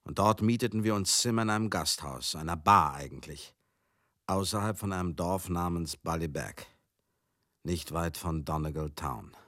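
An actor in a German radio drama monologue, playing a garrulous, rough-mouthed showbusiness man, chatty, theatrical and excitable. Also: low voice, moderate pace, dry recording, clean studio sound. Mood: reflective narration. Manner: chatty, theatrical, swinging into outbursts.

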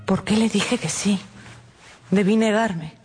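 A woman's voice: mid-range voice, takes it slowly, a little mellow, soft background music, close to an everyday voice. An actress playing a troubled, virtuous married lady in a radio drama, coyly evasive. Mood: regretful. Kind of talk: Spanish-language radio drama acting.

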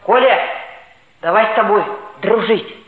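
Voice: mid-range voice, a little slow, narrow, tinny audio, natural voice. An actor playing a boastful, gluttonous schoolboy who talks with comic pomposity in a 1960s radio play. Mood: boastful, breezy. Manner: pompous mock-scientific talk. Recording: echoey, band-limited vintage radio play recording.

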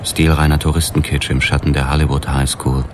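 A man's voice: extremely low pitch, level tone, brisk, background noise. An actor playing a hard-boiled police detective who tells his own story in a dry, matter-of-fact noir style. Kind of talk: noir audio drama narration.

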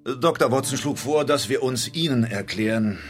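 An actor playing a brooding, probing gentleman detective in a radio play, speaking formally and in measured phrases. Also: mid-range voice, soft background music, close to an everyday voice.

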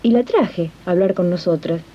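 A woman's voice: lowish voice, normal speed, husky. A woman playing a plain-spoken Argentine domestic worker and union comrade who narrates a 1980s radio drama conversationally. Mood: warm, storytelling. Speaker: narrator and host of radio serial. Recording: dull 1980s archive radio tape.

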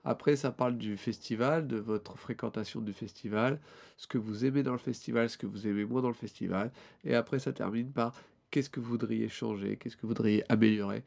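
A man co-hosting a casual podcast, his voice gravelly and stuffed up from a cold. He rambles through his points with fillers and restarts. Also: mid-range voice, steady tone, fairly quick, a little mellow. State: has a cold. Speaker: podcast co-host. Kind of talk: casual chat.

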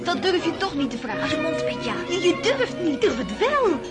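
A woman playing a cheeky, excitable young girl in a radio play, playful and insistent in her teasing. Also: very high voice, normal speed. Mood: daring, giggly. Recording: loud music behind the voice.

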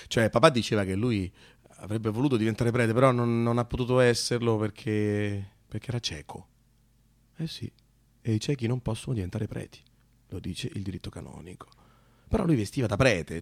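A man performing a conversational autobiographical monologue, halting and repeating himself with dramatic pauses. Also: on the low side, dry recording. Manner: halting, broken phrases with dramatic pauses and repetitions. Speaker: actor and narrator of a radio monologue. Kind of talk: theatrical autobiographical storytelling, conversational.

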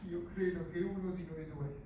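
A male actor plays a weary but defiant male coach with a philosophical streak. He speaks in measured, theatrical phrases at a slightly raised pitch. The recording is distant and noisy, with heavy stage echo. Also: fairly even intonation, dull audio, natural voice. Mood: grave, reflective. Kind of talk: theatrical stage acting in Italian.

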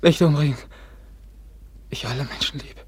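An actor playing a frightened, gravely wounded, childlike young man in a German radio play, gasping out broken fragments. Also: on the high side, normal speed, darkish tone, dry recording. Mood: terrified, pleading. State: weak, delirious, gasping.

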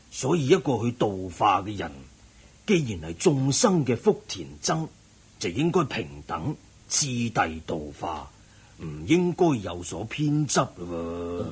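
An actor playing an eminent, wealthy old layman who lectures in a measured way, in Hong Kong Cantonese radio drama. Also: mid-range voice, slightly rough, full character voice. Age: older. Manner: measured, preaching.

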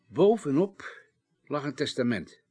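An actor playing a formal, contrite undertaker in a radio play, speaking in precise, legalistic phrases with hesitations. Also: male voice, mid-range voice, sing-song, takes it slowly, warm tone, slightly rough, heavily acted. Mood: careful recounting. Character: formal, contrite male undertaker giving a careful statement. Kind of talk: 1980s Dutch radio play acting.

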